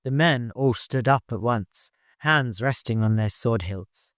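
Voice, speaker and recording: A man's voice: mid-range voice, a little slow, a bit bright, dry recording, studio quality. A man reading scripted literary narration as an audiobook narrator.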